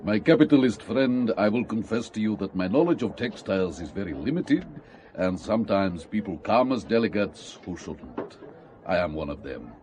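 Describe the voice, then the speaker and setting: A sly colonel in an audio drama, low and lively in pitch. He speaks with formal gallantry and dry wit over clearly audible background noise. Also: moderate pace. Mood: wry, gallant. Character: courtly, sly foreign colonel posing as trade delegate.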